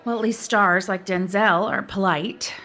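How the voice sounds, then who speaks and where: A woman's voice: mid-range voice, steady tone, unhurried, sharp tone. A woman playing a flustered, giggly casting director. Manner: flustered, giggly, stumbling over words. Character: nervous woman casting director, awkward, self-deprecating. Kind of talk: comedic audio drama.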